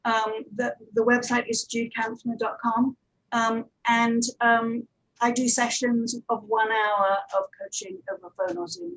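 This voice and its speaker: Female panelist, informal discussion, slightly high voice with a rough, husky grain. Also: unhurried, fairly bright tone, rough recording. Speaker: panelist, audio drama producer and coach.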